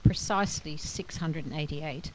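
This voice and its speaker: Mellow, lower-pitched woman lecturer. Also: normal speed, slight background noise, poor audio. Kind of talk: scripted educational narration.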